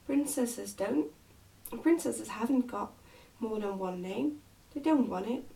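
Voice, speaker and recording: A woman's voice: a little high, expressive, slow, gravelly, mellow, recorded from a distance, heavily acted, poor audio. A woman voicing a fretful, scolding nursemaid in a storybook, anxious and easily indignant. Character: fretful, scolding, self-pitying nursemaid to a princess.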